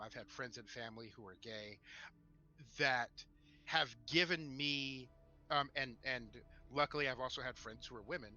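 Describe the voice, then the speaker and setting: A man in casual podcast discussion, picking his words in a halting, thoughtful way. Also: mid-range voice, pushed and bright. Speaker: podcast co-host. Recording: dull lo-fi mic, music behind.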